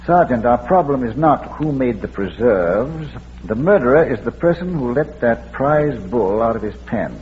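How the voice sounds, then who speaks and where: Man acting erudite gentleman detective, hesitant and pedantic, swooping intonation, vintage radio mystery, muffled hissy recording. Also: mid-range voice, expressive, normal speed, lightly acted. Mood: explaining. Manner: hesitant, wry, pedantic phrasing.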